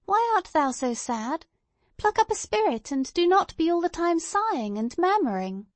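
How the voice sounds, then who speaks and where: Storybook little girl, played by a woman, high and bright-spirited, inquisitive, prattling, exclamatory. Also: very animated, moderate pace, no echo. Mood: playful scolding.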